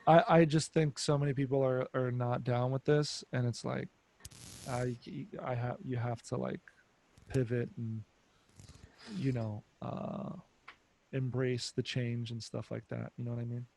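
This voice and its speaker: A man's voice: mid-range voice, a little slow, creaky, a little hiss or hum. A man, a guest musician and comedian, chatting loosely in an interview and now and then breaking into sung lines. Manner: relaxed, laid-back, breaks into sung lines. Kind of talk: casual interview chat.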